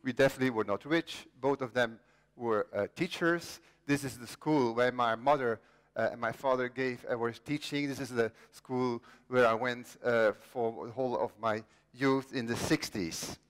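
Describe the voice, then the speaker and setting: A man's voice: mid-range voice, moderate pace. A man in his late fifties from Belgium, telling the story of his own life as a conference speaker, joking with the audience and poking fun at himself. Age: 50s. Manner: self-deprecating, jokey with the audience. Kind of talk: personal storytelling talk. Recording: long reverb of a large hall.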